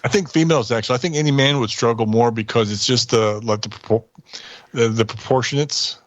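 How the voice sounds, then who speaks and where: Male co-host, fast conversational delivery, hesitant starts, dull lo-fi recording. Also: medium pitch, dry recording. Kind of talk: casual chat. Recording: dull, band-limited audio.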